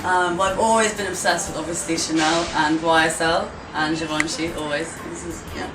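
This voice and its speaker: A young woman from Turkey chats loosely and haltingly, full of ums and restarts, her voice pitched a little low. She sounds set back from the mic in an echoing room, with music playing clearly behind her. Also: fairly even intonation, distant mic. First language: Turkish. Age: 20s. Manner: rambling, hesitant, many fillers. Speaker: gallery owner. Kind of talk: casual interview talk.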